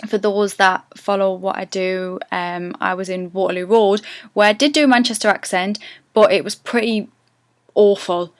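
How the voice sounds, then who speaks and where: Geordie woman vlogging about accents, chatty and a bit self-deprecating, smooth clear voice, dry close sound. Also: medium pitch, fairly even intonation, normal speed, no echo. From North East England. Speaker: actress giving an accent tutorial. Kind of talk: casual to-camera vlog.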